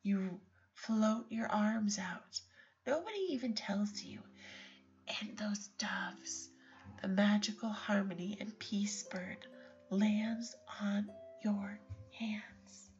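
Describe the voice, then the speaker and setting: A woman guiding a bedtime sleep story for children, talking softly and soothingly, often in a whisper. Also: mid-range voice, takes it slowly. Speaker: bedtime meditation guide. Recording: slightly dull recording with lullaby music.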